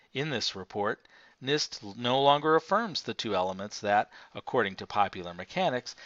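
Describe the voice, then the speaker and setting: A man reading a nonfiction book aloud as scripted narration, in a measured, formal manner. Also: fairly low pitch, a bit bright, dry recording. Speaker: audiobook reader. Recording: dull, lo-fi, dry close recording.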